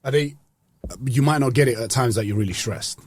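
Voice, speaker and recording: Husky man, close dry sound. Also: mid-range voice, a little fast, no echo. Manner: slangy, joking. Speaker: podcast guest, fitness professional. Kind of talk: casual podcast banter.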